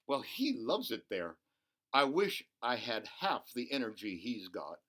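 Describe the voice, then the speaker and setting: A man's voice: mid-range voice, big pitch swings, unhurried, fairly bright tone. Man acting a weary, downhearted storybook dad. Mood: weary, hopeful. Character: downhearted jobless father narrating a picture book.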